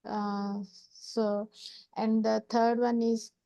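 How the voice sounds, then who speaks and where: Slow, mellow-voiced female student. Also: fairly high pitch, flat delivery, creaky, no room sound, lo-fi sound. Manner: slow, hesitant, listing points.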